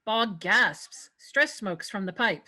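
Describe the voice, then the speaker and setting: A woman's voice: mid-range voice, expressive, takes it slowly, sharp tone. A woman narrating a screenplay, reading out scripted stage directions at a table read.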